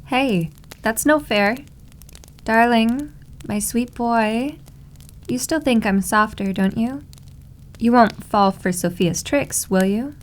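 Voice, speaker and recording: A woman playing a doting, possessive yandere maid girlfriend in an ASMR roleplay, with a sugary, cooing way of teasing. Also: a little high. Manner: cooing, sugary, drawn-out endearments. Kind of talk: slow ASMR roleplay acting.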